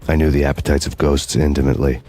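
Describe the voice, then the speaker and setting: An actor playing a brooding, vengeful hardboiled cop, narrating in a grim, deadpan noir style. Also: male voice, very deep voice, fast, faint music behind. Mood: somber, resolute.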